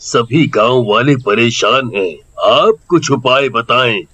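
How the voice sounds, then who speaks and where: A man's voice: mid-range voice, takes it slowly, a bit bright, dry recording. A man playing a respectful, earnest village headman in a horror story, deferential in manner. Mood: worried, pleading. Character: respectful, worried male village headman pleading for help. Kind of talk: acted Hindi horror audio story.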